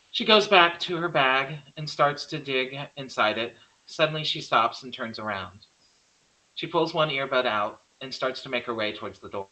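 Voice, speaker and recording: A man serves as narrator for a horror screenplay read. His mid-pitched voice is bright and crisp-edged as he reads scripted scene directions. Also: poor audio. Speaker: narrator reading stage directions.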